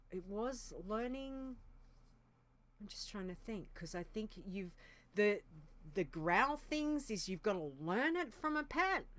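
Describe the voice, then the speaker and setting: A woman's voice: mid-range voice, smooth. A woman co-hosting a podcast in casual chat, thinking aloud with frequent ums and restarts. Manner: hesitant, thinking aloud with many fillers. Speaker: podcast co-host.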